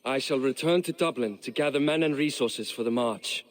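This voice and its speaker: Brief: actor as a middle-pitched Viking lord, rueful and wry, dry humor. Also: male voice, normal speed, close to an everyday voice. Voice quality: rueful, dryly joking. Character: gruff wry Viking lord, weary father. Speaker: Viking king of Dublin. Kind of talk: video game cutscene acting.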